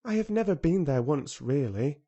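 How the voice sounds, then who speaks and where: A man's voice: fairly high pitch, expressive, moderate pace, mellow, breathy edge, dull audio, pitched above the performer's own voice. A man reading aloud who voices a headstrong, contrary young girl with an earnest, blunt way of speaking.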